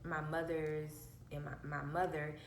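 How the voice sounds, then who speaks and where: Soft, childlike-voiced woman telling a story. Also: deep voice, flat delivery, a little slow. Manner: emphatic, repeats herself for effect. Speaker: vlogger. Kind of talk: casual personal storytelling. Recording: roomy echo, faint background hum.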